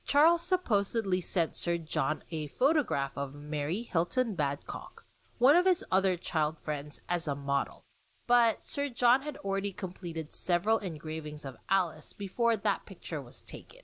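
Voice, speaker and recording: A woman hosting a podcast, reading a scripted narration on art history in a lively, explanatory way. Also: lowish voice, moderate pace, no echo. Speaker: podcast host.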